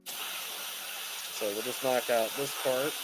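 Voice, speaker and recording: A man's voice: mid-range voice, fairly even intonation, extremely slow, rough recording. A man giving a casual, chatty live tutorial as a stream host, explaining things in an easygoing, informal way. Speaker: host of a livestream painting tutorial. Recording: music playing behind the voice. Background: music.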